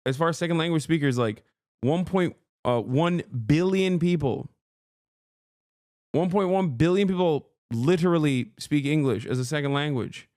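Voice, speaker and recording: A man's voice: a little high, normal speed, a little mellow, dry recording, clean studio sound. A man from Turkey, a native Turkish speaker, hosting a casual live-stream reaction and bluntly teasing his viewers. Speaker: streamer host.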